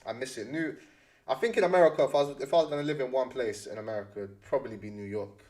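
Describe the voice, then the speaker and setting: A man's voice: medium pitch, fast talker, a little mellow. A young man with a London accent chatting casually to livestream viewers, slangy and often repeating phrases for emphasis.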